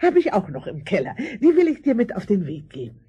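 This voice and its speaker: An actress playing a fawning, envious aunt in a fairy-tale radio play, gushing with drawn-out exclamations. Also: female voice, mid-range voice, wildly swooping pitch, lo-fi sound, over loud music. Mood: delighted. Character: fawning, envious aunt, doting on her daughter.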